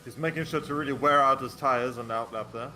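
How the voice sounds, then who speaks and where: A man's voice: mid-range voice, fast, pushed and bright, a little hiss or hum. Dry-joking Danish man co-commentating. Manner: dry, teasing jokes. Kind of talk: casual live sim-racing commentary.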